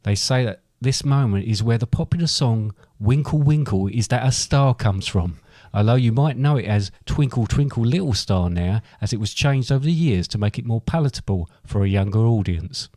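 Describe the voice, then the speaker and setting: A man's voice: mid-range voice, lively intonation, normal speed, hardly any pauses, warm tone, no echo, clean studio sound. A man reading scripted narration for a comic story.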